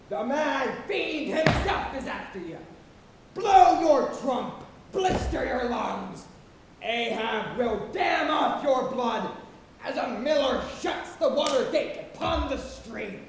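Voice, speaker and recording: A man's voice: very high-pitched, vocal fry, a little hiss or hum, heavily acted. A man playing a jesting second mate who covers fear with boisterous, singsong bravado on a theatre stage. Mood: taunting, fierce. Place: stage of a college auditorium. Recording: very echoey auditorium with music.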